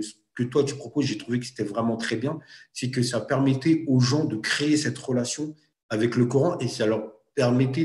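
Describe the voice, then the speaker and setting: A man of medium pitch talking in a thoughtful, halting way, circling back over his ideas. His voice rings in a noticeably echoey room. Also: a little mellow. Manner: thoughtful, hesitant, circles back and repeats himself. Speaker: guest, Arabic teaching project founder. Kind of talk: casual chat.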